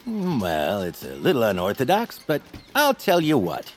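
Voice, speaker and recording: An actor playing a self-important radio show host in family audio fiction, pompous, smooth and coolly dismissive. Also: male voice, medium pitch, huge pitch swings, moderate pace, a little hiss or hum. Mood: smug, dismissive.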